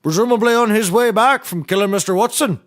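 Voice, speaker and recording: A man acting as a bumbling, folksy handyman who has turned courtroom lawyer in a comedy. His voice sits a little high, and he speaks with mock formality while drifting off the point. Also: fairly quick, close to an everyday voice. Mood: scatterbrained, self-assured.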